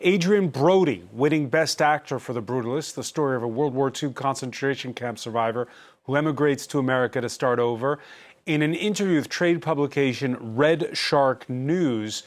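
A man's voice: mid-range voice, normal speed. A man hosting an interview, asking probing questions with frequent hesitations and filler sounds. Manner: probing, hesitant with many uhs and ums. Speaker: interviewer host.

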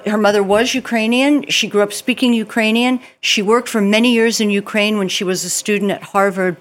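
A woman journalist giving her views as a guest on a news panel, thinking aloud with ums and restarts. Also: mid-range voice. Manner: thoughtful, with frequent ums and restarts.

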